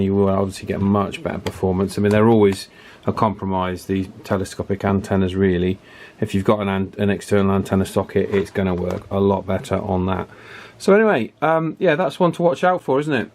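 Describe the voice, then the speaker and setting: Male video host, slightly deep voice, unscripted technical repair talk, explains as he works things out. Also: darkish tone. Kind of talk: informal hands-on repair commentary.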